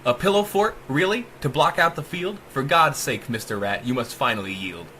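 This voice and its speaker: A man's voice: mid-range voice, expressive, moderate pace, bright, forceful tone, slight background noise. A man voicing a cruel, manic female household AI in a horror audiobook, mocking and theatrical in rhyme. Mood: sardonic taunting. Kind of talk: dramatic audiobook reading.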